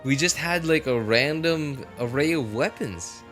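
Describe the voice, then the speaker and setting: A man hosting a game livestream, rambling casually and excitably, exclaiming and musing aloud. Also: fairly high pitch, unhurried. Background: game music.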